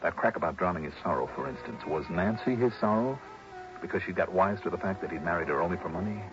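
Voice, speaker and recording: An actor playing a shrewd, hard-boiled private investigator in mid-century radio drama, with a clipped, wry, probing way of talking. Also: male voice, low-pitched, fast, natural voice. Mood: brooding narration. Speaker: star of the show. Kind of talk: 1950s-60s radio drama acting and first-person narration. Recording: dull vintage radio recording with music.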